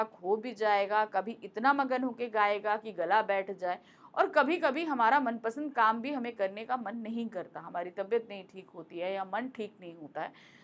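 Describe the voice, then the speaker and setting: Chatty female Hindi teacher. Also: a little high. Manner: conversational, repeats points, asks rhetorical questions. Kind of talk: explaining a Hindi lesson to students. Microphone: close mic.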